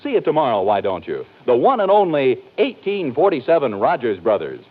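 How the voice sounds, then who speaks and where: A man's voice: mid-range voice, wildly swooping pitch, brisk, background noise. A man with an American accent reading scripted 1940s radio announcements in a polished, emphatic way. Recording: tinny old radio broadcast recording.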